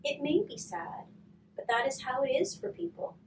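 Soft-spoken woman reading a picture book. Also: high voice, animated. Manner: soft, slow and gentle, often trailing to a whisper. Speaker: hospice volunteer reading to children. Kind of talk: gentle storybook reading and activity demo. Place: curtained room, indoors. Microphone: far camera. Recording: distant mic with faint room noise.

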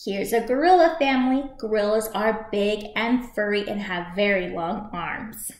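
A woman in her thirties reading a picture book aloud for young children as a storytime host, expressive and clearly paced. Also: medium pitch, moderate pace. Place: small room at home, indoors by a shuttered window. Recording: echoey home room.

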